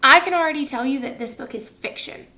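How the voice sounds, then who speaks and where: A woman teacher reading a picture book aloud to students, expressive and instructive, pausing to put questions to her listeners. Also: high-pitched.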